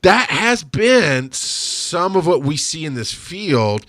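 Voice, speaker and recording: A man in his thirties hosting a podcast, chatty and quick to laugh. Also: a little high, big pitch swings, a bit bright, dry recording, studio quality. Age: 30s. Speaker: podcast host. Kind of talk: casual podcast chat.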